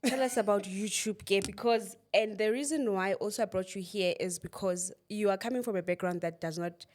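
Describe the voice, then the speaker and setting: A woman's voice: mid-range voice, brisk, darkish tone. A South African woman hosting a podcast interview, asking questions and encouraging her guest with short affirmations. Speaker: podcast host. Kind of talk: casual interview.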